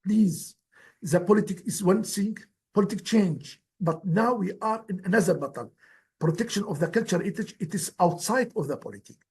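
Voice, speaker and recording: Guest speaker: man, native Kurdish speaker, husky high voice, earnest and halting. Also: a little mellow. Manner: earnest, pleading, halting phrasing. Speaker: guest speaker, former heritage director. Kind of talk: formal presentation in non-native English.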